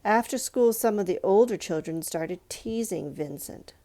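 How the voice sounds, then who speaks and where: A woman reading a children's picture book aloud in a measured, expressive storytelling manner, with pauses. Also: medium pitch. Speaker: storybook reader.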